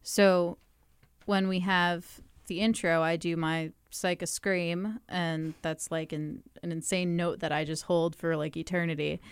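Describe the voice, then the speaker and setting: Low-voiced woman singer, smooth and dry. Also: flat delivery, a little slow, no echo. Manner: chatty, laughs often, fillers like "um" and "like". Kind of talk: casual interview answers.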